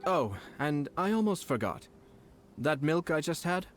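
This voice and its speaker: An actor playing a composed young male noble clan head in a video game, speaking politely and in measured, formal terms. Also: fairly high pitch, sing-song, faint music behind.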